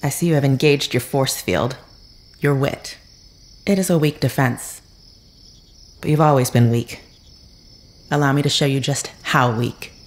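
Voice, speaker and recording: A woman playing a cold, unsparing otherworldly woman in an audio drama, with measured, formal, precise diction. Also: extremely low pitch. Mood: cold, accusing.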